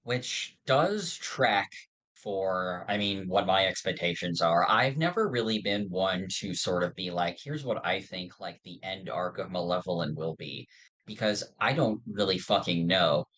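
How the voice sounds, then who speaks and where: Low voiced podcast host just chatting like a fan, kinda rambly and informal. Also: measured pace, fairly bright tone, slightly rough, low-quality recording. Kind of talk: casual fan discussion of an audio drama.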